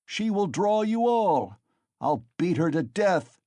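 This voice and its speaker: A man's voice: high-pitched, lively intonation, unhurried, dark tone, no room sound, voice pushed up. A man voicing a drunken, thick-necked young male peasant who bellows and boasts in an audiobook. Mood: drunken bellowing.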